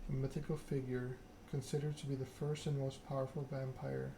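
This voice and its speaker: Male ASMR streamer, mid-pitched and flat in intonation, measured halting delivery, noisy ambient recording. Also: creaky. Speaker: game streamer, host. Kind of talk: soft-spoken ASMR reading and stream chat.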